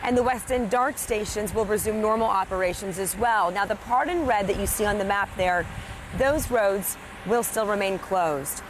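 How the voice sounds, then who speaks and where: A woman's voice: mid-range voice, moderate pace. A woman giving a live television news report outdoors at a downtown intersection. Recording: noisy outdoor street with traffic.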